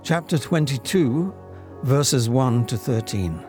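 A man's voice: mid-range voice, expressive, darkish tone, soft background music. A man in his seventies with an English accent acting a solemn, stately narrator of Old Testament stories in a dramatic reading. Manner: grave, theatrical, drawn-out.

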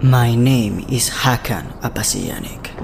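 Bitter, breathy captive rebel. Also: male voice, medium pitch, slow, background noise. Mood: defiant. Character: defiant, hateful human male rebel prisoner, beaten. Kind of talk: audio drama acting.

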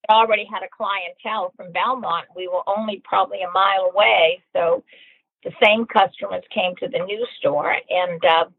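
An older woman, past sixty, recalls her life with a husky voice and a fond, reflective manner. The recording is dry and narrow, muffled like a phone line. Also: medium pitch, steady tone, normal speed, no echo. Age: 60s or older. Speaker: former store owner, interviewee. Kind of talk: personal recollection, storytelling.

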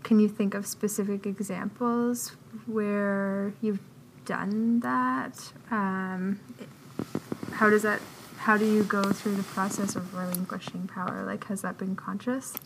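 A woman with a mid-range voice who speaks slowly, asking unhurried, thoughtful questions with plenty of fillers. A faint hiss of background noise sits behind her. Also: mid-range voice, fairly even intonation, vocal fry, low-quality recording. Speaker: interviewer. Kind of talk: casual interview.

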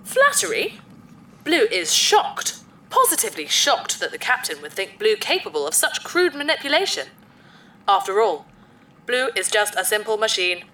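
A woman's voice: medium pitch, very animated, normal speed, bright, forceful tone, slight background noise. A woman playing an eager, fawning spaceship computer with a theatrical, childlike manner in a sci-fi audio drama. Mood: mock offended. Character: eager, flattering, childlike spaceship computer, speaks in third person.

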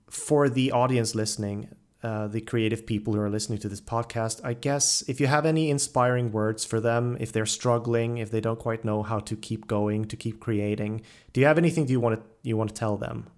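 A man with an average-pitched voice hosts a casual interview. He speaks conversationally, with tentative, halting phrasing as he works out what he wants to say to his guest. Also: darkish tone. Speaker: podcast host interviewer.

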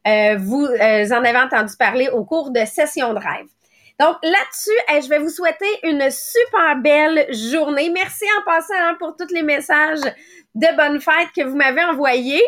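A woman in her late thirties with a Quebec French accent hosts a motivational morning podcast. Her voice sits high, and her talk runs quick and conversational, with little quoted thoughts played out as she goes. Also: fairly bright tone. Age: late 30s. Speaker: podcast host.

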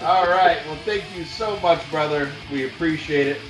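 A man's voice: fairly high pitch, moderate pace, echoey room, soft background music, rough recording. A man hosting a podcast, casual and upbeat, laughing and swearing as he chats with guests. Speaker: podcast host.

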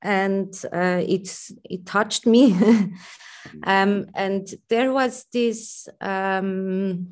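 A woman with a Swiss accent calling in to share a personal story, hesitant and full of pauses and fillers. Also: medium pitch, fairly even intonation. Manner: hesitant, many pauses and fillers, soft laughs. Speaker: caller. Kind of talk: personal testimony on a call-in.

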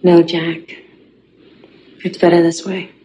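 A resigned woman quietly turns away a man who loves her, a 1980s TV drama. She speaks in a flat, level tone. A noisy TV clip carries a clear background hiss. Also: fairly low pitch, takes it slowly, muffled recording. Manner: resigned, sad. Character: woman gently refusing a lover.

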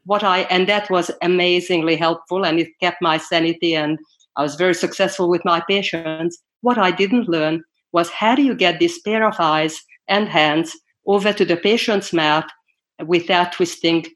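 Woman in her sixties, low. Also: moderate pace, fairly bright tone. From Hungary. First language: Hungarian. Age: 60s. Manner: earnest, with hesitations and restarts. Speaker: guest dentist. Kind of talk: interview storytelling.

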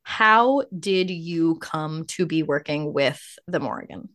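A woman's voice: deep voice, slow pace, fairly bright tone. A woman hosting a podcast, welcoming guests and guiding the talk with questions. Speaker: podcast host. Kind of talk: casual podcast chat.

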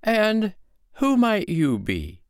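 A man voicing a calm, sly foster mother in a storybook, with a lilting, mock-innocent, needling way of talking. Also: high-pitched, drawn-out words, clean tone, no echo, studio quality, voice pushed up, full character voice. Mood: calm, teasing.

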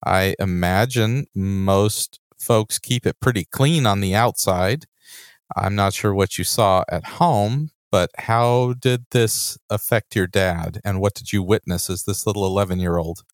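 A man's voice: fairly low pitch, measured pace, no echo, clean studio sound. A man hosting a podcast interview, asking friendly, curious questions in a casual way. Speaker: podcast host.

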